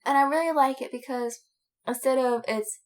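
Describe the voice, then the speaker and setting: Woman, podcast co-host, fairly high voice, casual fan talk, enthusiastic, slangy. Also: fairly even intonation, moderate pace. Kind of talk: casual fandom chat.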